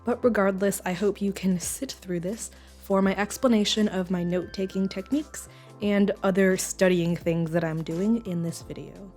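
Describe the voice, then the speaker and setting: A young woman with a slightly croaky voice from a cold, explaining her note-taking methods in a casual voice-over. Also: mid-range voice, steady tone, normal speed, a little mellow, soft background music. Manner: explanatory, rambling with fillers. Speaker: study vlogger. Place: lives in the San Francisco Bay Area.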